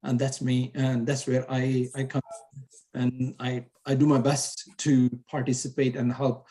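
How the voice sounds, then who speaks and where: Guy from pakistan on a video call, earnest, dark flat voice. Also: medium pitch, a little slow, rough recording. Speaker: panel guest.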